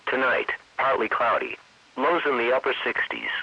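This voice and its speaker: A man's voice: mid-range voice, animated, moderate pace, no room sound. An automated male announcer reading scripted forecasts in a formulaic, impersonal synthetic voice. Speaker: automated weather radio announcer. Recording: dull lo-fi weather radio stream.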